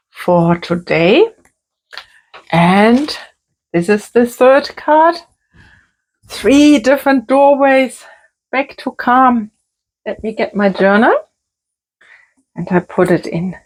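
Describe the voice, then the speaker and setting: A woman working as a creative therapist, talking gently and softly through a calm crafting tutorial. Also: mid-range voice, rough recording. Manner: gentle, soft-spoken, unhurried.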